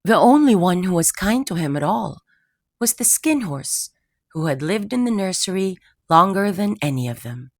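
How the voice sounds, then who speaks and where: A woman narrating a scripted children's bedtime story in a gentle, measured, soothing manner. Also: fairly low pitch, big pitch swings. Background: music.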